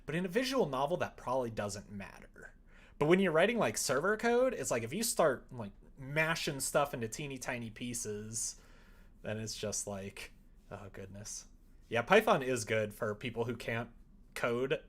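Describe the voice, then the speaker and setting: A man chatting casually on a livestream as he codes, rambling in an animated, opinionated way. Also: medium pitch, normal speed. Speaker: live-streaming programmer. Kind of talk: casual livestream chat while coding.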